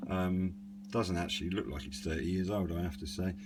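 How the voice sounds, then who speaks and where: Low-voiced British man over noisy hum. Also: brisk. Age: middle-aged. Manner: hesitant, understated, meandering. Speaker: radio hobbyist and reviewer. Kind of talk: unscripted product demonstration, casual chat. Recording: clearly noisy with a steady hum.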